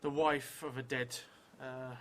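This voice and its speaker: A middle-aged man thinking aloud as he plays a solo tabletop game, halting and hesitant, often trailing off mid-thought. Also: medium pitch, level tone, fairly bright tone. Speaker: solo tabletop role-player streaming a game. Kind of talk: thinking aloud while playing a journaling game. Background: music.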